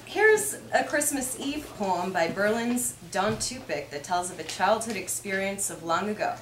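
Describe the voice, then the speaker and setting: A woman giving a measured, reflective live reading of poetry. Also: mid-range voice, lively intonation. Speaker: poem reader. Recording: echoey room with faint noise.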